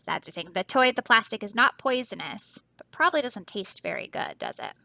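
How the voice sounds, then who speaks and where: A woman teacher reading a picture book aloud to children, animated and dramatic, with explanatory asides and questions to the listeners. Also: mid-range voice, a little fast, gravelly, no room sound.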